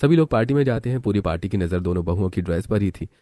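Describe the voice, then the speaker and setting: A man's voice: low voice, animated, racing, warm tone. A man reading scripted narration for a story.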